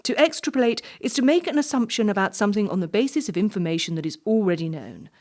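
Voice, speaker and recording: An actress playing a clinical, pedantic female job interviewer in a stage play, with a clipped, probing and deliberate manner. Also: mid-range voice, animated, darkish tone, close to an everyday voice. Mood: challenging.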